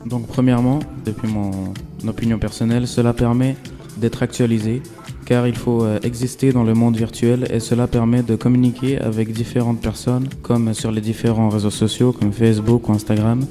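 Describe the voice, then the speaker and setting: A man reading the week's news items for a school radio show in an even, read-aloud way. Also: medium pitch, normal speed, soft background music. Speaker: student news presenter.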